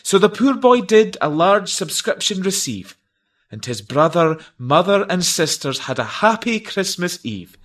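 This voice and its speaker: A man performs narrative verse in a declamatory, melodramatic style. His voice is fairly high and very animated, moving slowly and deliberately through the theatrical lines. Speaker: performer reciting a narrative poem. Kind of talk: theatrical dramatic verse recital.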